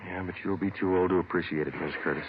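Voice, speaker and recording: A man acting a terse American police detective from golden-age radio. His voice sits low and he rattles through the facts briskly, clipped and businesslike, on a muffled old broadcast recording. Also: steady tone, noisy background, close to an everyday voice. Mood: firm, dry. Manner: terse, matter-of-fact. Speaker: lead actor and narrator. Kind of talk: 1940s-50s radio police drama, clipped narration.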